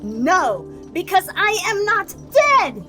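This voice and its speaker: Stubborn, skeptical frontier heroine, high and lively, blunt delivery, Western audio drama. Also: female voice, slow, over music, full character voice, low-quality recording. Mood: defiant, fed up. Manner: blunt, defiant. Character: defiant, headstrong, skeptical half-dead frontier woman. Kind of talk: scripted audio drama acting.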